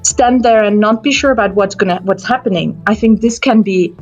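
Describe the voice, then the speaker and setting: A woman's voice: mid-range voice, fairly quick, darkish tone, muffled recording, soft background music. A woman from Austria, speaking on a discussion panel about escape rooms and thinking aloud with restarts. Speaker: escape room enthusiast panelist.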